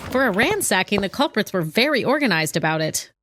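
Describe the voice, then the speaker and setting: An actress playing a worried, loyal young heroine in a comedy audio drama. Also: female voice, medium pitch, sing-song, brisk, noisy background, natural voice. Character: loyal anxious young woman Wilma, sidekick.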